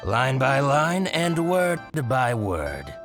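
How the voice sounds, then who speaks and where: An actor playing a scheming, excitable male shopkeeper in a video game, theatrical, obsequious and full of jealousy. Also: medium pitch, takes it slowly. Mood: eager, scheming. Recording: music behind the voice.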